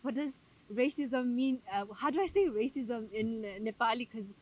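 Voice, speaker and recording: A woman's voice: a little high, moderate pace, darkish tone, low-quality recording. A woman hosting a casual interview podcast, talking in a chatty way with frequent fillers. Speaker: podcast host.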